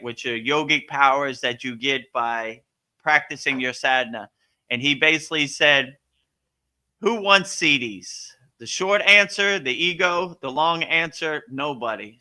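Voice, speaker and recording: A man's voice: on the high side, bright, forceful tone. An American man from Miami talking casually as a spiritual teacher answering questions, in a laid-back, colloquial, anecdotal way. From Miami, Florida, United States.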